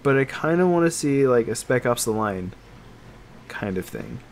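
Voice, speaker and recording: A man co-hosting a casual podcast chat about games and films, talking in a halting, meandering way that trails off. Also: medium pitch, sing-song, slight background noise. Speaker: podcast co-host.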